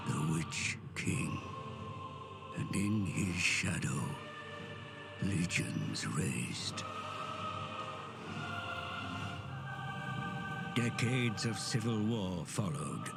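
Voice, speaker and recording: A male actor playing a grave, ominous storyteller, narrating an epic fantasy game cutscene in a measured, dramatic way. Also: sits low, creaky, full character voice. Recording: loud cinematic music behind the voice. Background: music.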